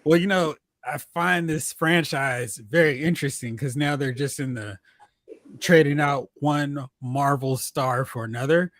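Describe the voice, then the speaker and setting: A man with a slightly high voice and a dry, close sound. He hosts casual movie chat, moving between recap and animated joking commentary. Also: unhurried, no room sound. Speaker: host of a movie talk show.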